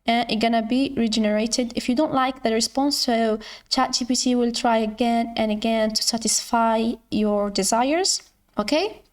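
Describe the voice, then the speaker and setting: A woman who is not a native English speaker, hosting an informal screen-share tutorial in a halting way, often repeating and correcting her words. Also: on the high side. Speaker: tutorial host.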